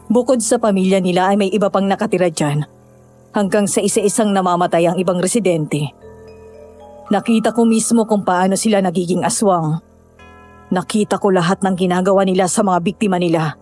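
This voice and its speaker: A woman's voice: mid-range voice, normal speed, long pauses, warm tone, faint music behind, close to an everyday voice. Woman playing a solemn, knowing village woman. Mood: solemn, explaining. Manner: explanatory, cautioning. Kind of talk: horror audio drama dialogue.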